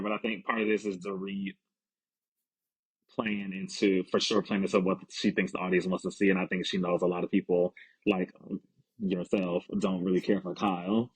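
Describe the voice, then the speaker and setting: Low, husky-voiced man co-hosting. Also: poor audio. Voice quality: slightly raspy. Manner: laughs often, easygoing. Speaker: podcast co-host. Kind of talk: casual chat.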